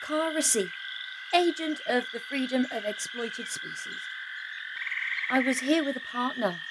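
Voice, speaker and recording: A woman playing an anxious but determined resistance agent, halting and gasping, in an audio drama. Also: high-pitched. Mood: guarded. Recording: strongly echoing, faint background noise.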